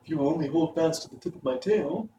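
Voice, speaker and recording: A man's voice: fairly high pitch, fast talker, dark tone, voice pushed up, natural voice. A man voicing a big male lion, earnest and helpful, in a storybook reading. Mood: determined. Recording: roomy, distant, faint noise.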